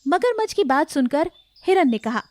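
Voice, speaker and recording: Female narrator, higher-pitched, for scripted children's animal fables. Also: very animated, moderate pace. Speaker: storyteller.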